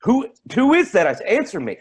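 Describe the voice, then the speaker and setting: A man's voice: very high-pitched, wildly swooping pitch, moderate pace, heavily acted. An actor in a staged play reading, playing a tactless, defensive man who stammers and repeats himself. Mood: nervous alarm. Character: tactless, defensive man, rambling and awkward, named Timothy.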